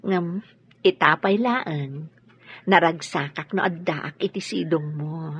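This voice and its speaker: An actress playing an earnest, soft-hearted woman in love in a radio drama. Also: deep voice, takes it slowly, breathy edge, exaggerated voice. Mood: tender. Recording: dull, noisy lo-fi radio.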